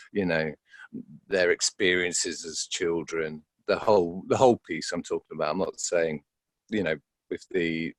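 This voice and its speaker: A man's voice: low-pitched, no room sound. A man being interviewed as an actor, speaking reflectively and hesitantly, searching for words and repeating himself.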